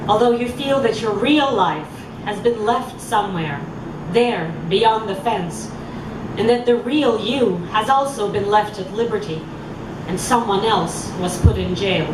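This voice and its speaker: A woman reading a letter aloud at a public event, slow, measured and solemn. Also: medium pitch. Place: outdoor public venue. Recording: echoey, far from mic, loud street noise.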